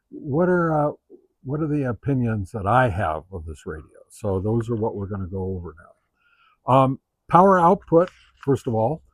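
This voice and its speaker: Halting man reviewing gear, very close dry sound. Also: medium pitch, no echo. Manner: halting, conversational, thinking aloud. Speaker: radio reviewer and host. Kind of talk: casual unscripted product review to camera.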